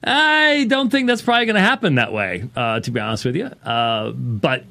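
A man speaks as the host of a call-in talk podcast at a middle pitch. His delivery is conversational and unscripted, wandering into asides with a wry touch. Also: big pitch swings, moderate pace, sharp tone. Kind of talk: casual unscripted talk show monologue.

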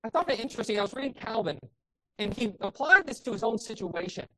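Loud, impassioned woman preacher. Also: fairly high pitch, very animated, fast, poor audio. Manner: impassioned preaching, rising to emphatic peaks. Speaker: pastor. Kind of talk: sermon.

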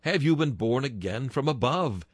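A man preaching an old-style sermon, slow and declamatory, rising to ringing exclamations and sinking to hushed, reverent tones. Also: mid-range voice, expressive, husky, dry recording, clean studio sound. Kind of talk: Victorian-style sermon reading.

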